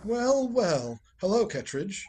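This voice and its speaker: A man playing a wry, unflappable gentleman in a radio play, dry and teasing. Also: high-pitched, sing-song, slow, full character voice. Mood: wry, casual.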